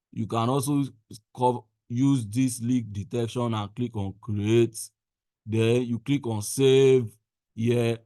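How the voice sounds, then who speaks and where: A man presenting a screen-share software tutorial in an instructional way, repeating phrases for emphasis. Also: medium pitch, no echo, clean studio sound. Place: indoors, voice-over on a screen recording.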